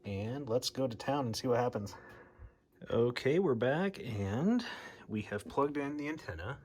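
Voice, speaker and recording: A man's voice: mid-range voice, lively intonation, a little slow, darkish tone, breathy edge. An American man talking informally and thinking aloud as he narrates a hands-on hobby repair video. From United States, Midwest. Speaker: radio hobbyist presenter.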